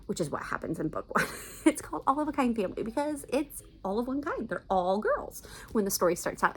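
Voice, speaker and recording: A middle-aged woman giving casual, chatty recommendations as a book vlogger, with an enthusiastic, emphatic manner. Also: medium pitch.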